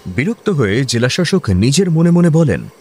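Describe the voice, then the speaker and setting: An actor playing the narrator of a comic radio drama, telling the office story in scripted style. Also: male voice, mid-range voice, big pitch swings, normal speed, a little mellow, husky, soft background music. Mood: storytelling.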